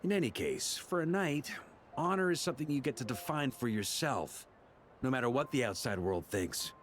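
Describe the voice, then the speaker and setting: A man's voice: medium pitch, lively intonation, moderate pace, a little hiss or hum, lightly acted. A man playing an easygoing, jovial knight grandmaster in a video game, with a breezy, joking, theatrical manner. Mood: earnest. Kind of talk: scripted video game voice acting.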